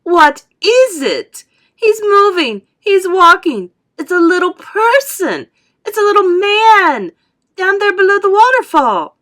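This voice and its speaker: A woman's voice: extremely high pitch, very animated, slow pace, a bit bright, pitched above the performer's own voice, heavily acted. A woman voicing an overexcited, demanding young girl in a storybook, screaming frantically. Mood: frantic excitement.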